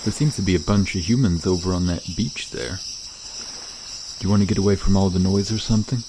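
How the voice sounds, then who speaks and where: Casting: male actor as shy, friendly mer-shark for ASMR roleplay, very deep, hesitant delivery, chirping insects behind. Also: fairly quick, noisy background. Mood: friendly, curious. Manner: hesitant, casual, trailing off. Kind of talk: ASMR roleplay, soft conversational acting to the listener. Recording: nature ambience with chirping insects. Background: crickets and outdoor night ambience.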